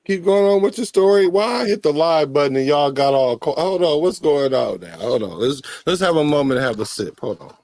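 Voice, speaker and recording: A man hosting a casual late-night chat, laid-back and joking in a relaxed, slangy way. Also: a little high, brisk, few pauses, no echo. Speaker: host.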